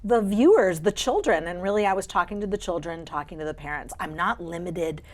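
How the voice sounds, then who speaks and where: A woman voice actor, a guest on a studio talk show, telling stories about her career in a lively, expressive way. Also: on the low side, a little fast. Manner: animated, expressive storyteller. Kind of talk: interview storytelling. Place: TV studio couch.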